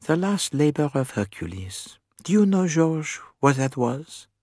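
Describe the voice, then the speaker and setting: A man's voice: mid-range voice, breathy edge, no room sound, studio quality, voice pushed up. A man playing an elderly detective, courteous, methodical and a little weary, speaking steadily in a story reading. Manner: steady, measured.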